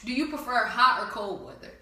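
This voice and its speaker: A woman's voice: a little high, brisk, bright, forceful tone, recorded from a distance. A woman from New Jersey interviewing a guest in a casual, slangy chat, laughing often and swearing freely. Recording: echoey room, voice from off-mic, lo-fi.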